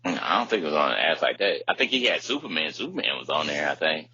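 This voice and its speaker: A man's voice: rumbling low, sing-song, fast, bright, forceful tone, slightly rough, no echo, dull audio. A man chatting casually as a podcast co-host, in slangy talk that often circles back to repeat itself. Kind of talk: casual chat about hip hop.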